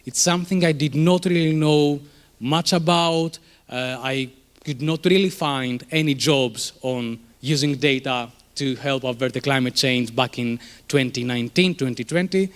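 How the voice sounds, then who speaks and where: A man's voice: mid-range voice, a little slow. A man in his thirties from Greece with a Greek accent, giving a conversational conference presentation in a room, with many fillers and restarts. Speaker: conference speaker, startup co-founder.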